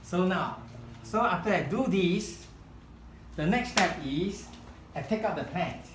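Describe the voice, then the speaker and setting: A man whose first language is Chinese, leading a live kokedama-making demonstration in a room and often pausing to search for words. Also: high voice, animated, echoey room, heavy background noise. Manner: halting, searching for words, many restarts. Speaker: kokedama workshop founder demonstrating. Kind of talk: live hands-on craft demonstration. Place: room at a home demonstration event.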